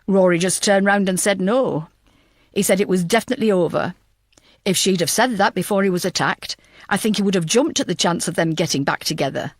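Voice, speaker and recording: A woman's voice: medium pitch, brisk, dry recording, studio quality, natural voice. A woman voicing a tired, loyal male police detective with a matter-of-fact manner in an audiobook.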